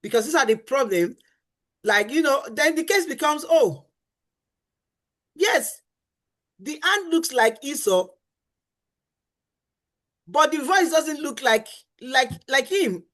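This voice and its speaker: A middle-aged woman with a fairly high voice teaches about marriage on a faith-based talk show. She is earnest and emphatic, circling back to her main points. She acts out the complaints of husbands and wives as she goes. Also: lively intonation, slow, long pauses, fairly bright tone. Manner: earnest and emphatic, repeating key phrases and acting out spouses' complaints.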